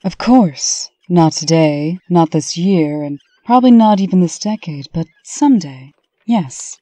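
A woman voicing a reserved, authoritative fairy-tale princess in a comic dub, with measured, formal delivery. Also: on the low side, mellow, no echo. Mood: businesslike.

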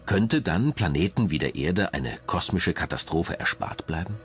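A man narrating a scripted documentary in a measured, dramatic storytelling manner. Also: sits low, animated, faint music behind.

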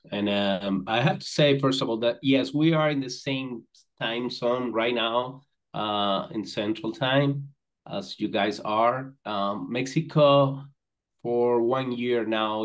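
A man speaks in a fairly low voice over a computer mic on a video call. His talk is friendly and loose, full of fillers and restarts as he chats in English. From Venezuela. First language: Spanish. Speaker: company managing director, guest. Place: indoors with virtual backdrop.

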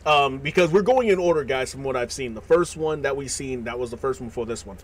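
A young American man doing casual reaction commentary in a slangy, animated manner. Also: medium pitch, fast. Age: young adult. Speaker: reaction video host. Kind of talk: casual reaction commentary, reading captions aloud. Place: small indoor room. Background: music.

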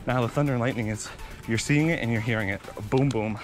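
A young man vlogging outdoors on a beach, telling animated stories while running, slightly out of breath, into a body-mounted camera. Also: mid-range voice, quick pace, over music. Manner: animated, excitable storytelling with sound effects. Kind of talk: casual storytelling while jogging. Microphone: body-mounted action camera.

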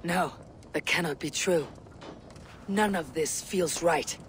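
A woman's voice: low voice, a little hiss or hum. An actress playing a resolute female viking warrior who speaks firmly and earnestly. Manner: firm, earnest, measured. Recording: game audio with music behind.

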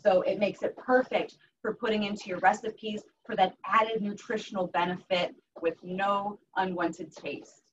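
A woman's voice: mid-range voice, fairly even intonation, normal speed, hardly any pauses. Upbeat woman coach, heard from a distance. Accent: Western Pennsylvania. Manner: upbeat and earnest, with frequent ums and restarts. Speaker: health coach doing a cooking demo. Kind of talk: live cooking class talk. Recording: distant mic, dull sound, faint noise.

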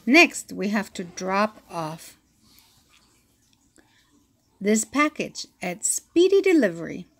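A woman reading a children's picture book aloud, with an expressive storytelling delivery. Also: mid-range voice. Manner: slow, expressive reading with acted-out sound effects.

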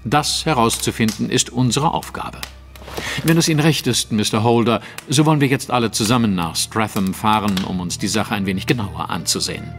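A man's voice: fairly low pitch, a little fast, music in the background, natural voice. An actor playing an analytical, composed male detective, speaking precisely and formally in an audio drama.

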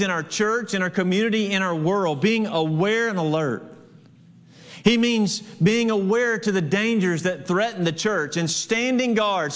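An American man in his thirties preaching an expository sermon as a pastor, earnest and emphatic, with pauses and rhetorical questions. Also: high-pitched. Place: church hall with hard floor, small congregation. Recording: reverberant hall sound.

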